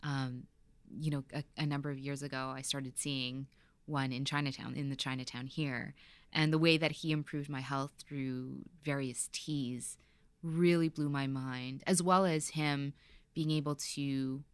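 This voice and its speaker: A woman's voice: rumbling low, steady tone, a little slow, clear, resonant tone, no room sound. A woman hosting a podcast interview in a studio, chatty and full of little fillers. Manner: warm, chatty, many fillers. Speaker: podcast host. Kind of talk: casual interview chat.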